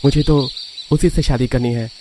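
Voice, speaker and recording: A man's voice: mid-range voice, fairly even intonation, moderate pace, dark tone, a little hiss or hum, close to an everyday voice. A man voicing an opinionated, plain-spoken young man in a cartoon story. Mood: insistent. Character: picky young man choosing a bride. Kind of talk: Hindi cartoon story voice acting.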